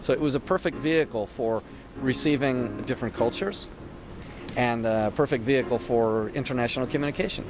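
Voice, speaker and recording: A man's voice: mid-range voice, brisk, noisy background. A man in casual conversation, talking in an enthusiastic, explanatory way and laughing easily. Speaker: conversation partner.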